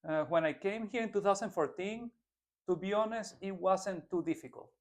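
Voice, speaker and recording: Young man with Venezuelan accent. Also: high-pitched. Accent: Venezuelan Spanish. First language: Spanish. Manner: earnest, halting with restarts. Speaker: political candidate guest. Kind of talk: casual interview answers.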